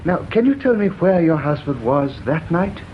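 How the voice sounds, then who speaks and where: An actor playing a precise, officious male coroner in a radio drama, speaking formally and deliberately. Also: fairly high pitch, big pitch swings. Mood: formal, probing. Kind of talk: BBC radio drama acting, formal questioning and ruling. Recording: dull, slightly noisy radio recording.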